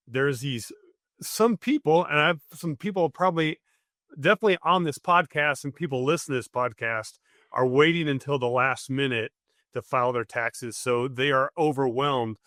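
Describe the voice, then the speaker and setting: A man appearing as an accountant guest on a podcast, blunt and plainspoken, with some halting restarts. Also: mid-range voice, a bit bright, no room sound, studio quality. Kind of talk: podcast interview.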